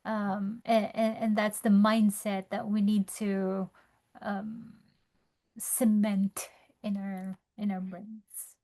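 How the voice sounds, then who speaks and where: A woman from the Philippines, sharing from her experience in a measured, thoughtful way. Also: mid-range voice, level tone, dark tone. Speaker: call participant.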